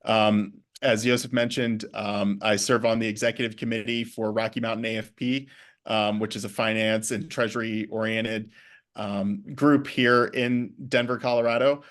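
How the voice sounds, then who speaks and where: A man speaking as a guest in a class talk, pausing often with ums and restarting words. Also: lowish voice, flat delivery, a bit bright. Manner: hesitant, many ums and restarts. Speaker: guest speaker, bank vice president.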